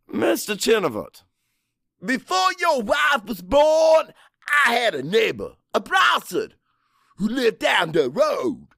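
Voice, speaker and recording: Audiobook role: combative old Cajun storyteller, pitched high, swooping, folksy and fiery. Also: male voice, sing-song, takes it slowly, creaky, no room sound, clean studio sound, exaggerated voice. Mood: insistent storytelling. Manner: loud, emphatic, folksy, mixes French into English.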